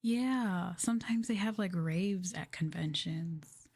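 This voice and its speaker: A woman talking softly and breathily, often near a whisper, in an unhurried, musing ASMR livestream chat with viewers. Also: lowish voice, breathy edge. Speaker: VTuber livestream host. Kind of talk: ASMR soft-spoken casual chat.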